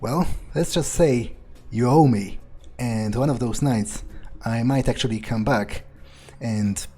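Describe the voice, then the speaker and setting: A man acts out a scheming male vampire in a video game roleplay, musing with open contempt. His delivery is unhurried and sneering, in a dark, mellow timbre of medium pitch, foul-mouthed throughout. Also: soft background music, close to an everyday voice. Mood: sly, bargaining. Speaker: game player staying in character. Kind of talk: in-character roleplay playthrough.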